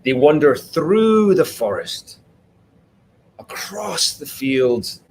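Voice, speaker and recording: A man tells a children's story in a slow, lively voice that rises and falls widely. He addresses young listeners directly and adds simple asides to explain things. The recording has clear background noise. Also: mid-range voice, low-quality recording. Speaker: author reading own children's book. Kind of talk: read-aloud storytelling for children, interactive.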